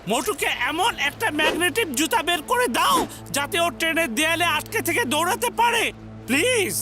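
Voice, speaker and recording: Squeaky, clever cartoon sidekick. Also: expressive, brisk. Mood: scheming, coaxing. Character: clever, taunting small cartoon sidekick, quick with plans. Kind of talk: animated cartoon dubbing. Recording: loud music behind the voice.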